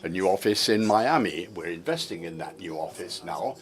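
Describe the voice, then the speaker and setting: A man with a low voice renders a formal report in a measured, steady way. He often pauses on fillers as he keeps pace with the speaker. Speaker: interpreter. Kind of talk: simultaneous interpreting of a report.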